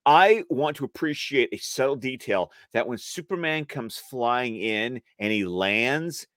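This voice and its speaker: A man's voice: mid-range voice, lively intonation, a little slow, fairly bright tone, no room sound, clean studio sound. A man co-hosting a casual commentary stream, stressing his points emphatically and often repeating phrases. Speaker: co-host of a cartoon episode review stream.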